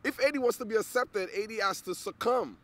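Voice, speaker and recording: A man's voice: sits high, a little fast, fairly bright tone. A man from Nigeria, an interview guest, talking earnestly and restarting words as he searches for them. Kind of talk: casual interview conversation.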